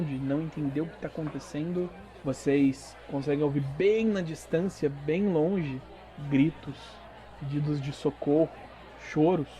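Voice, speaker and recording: Mellow-voiced Brazilian man narrating over music. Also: mid-range voice. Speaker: game master. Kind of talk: narrating a tabletop RPG audio drama. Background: background music.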